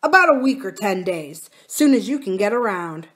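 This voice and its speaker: A woman's voice: medium pitch, animated, normal speed, fairly bright tone, natural voice. A woman voicing a crafty, hard male farmer in an audiobook, blunt, smug and menacing. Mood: taunting threat. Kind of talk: audiobook character dialogue.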